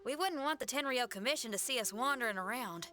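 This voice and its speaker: A woman's voice: fairly high pitch, lively intonation, fast, pushed and bright. An actress playing a friendly, loyal young woman in a video game, with a folksy, casual manner. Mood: practical. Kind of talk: English video game voice acting.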